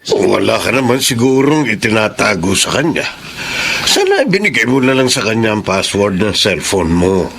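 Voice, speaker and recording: A man's voice: lowish voice, big pitch swings, moderate pace, fairly bright tone, slight background noise. A man acting a conciliatory older Filipino grandfather who gives earnest advice in a radio drama. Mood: apologetic, understanding. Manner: earnest, pleading, advising. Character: conciliatory, concerned older father-in-law and grandfather.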